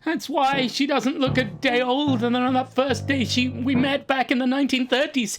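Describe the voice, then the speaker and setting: A woman playing a gullible, flustered old man of eighty-four in improvised comedy, stammering over a video call. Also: lightly acted. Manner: flustered, stammering, rising to anxious pitch.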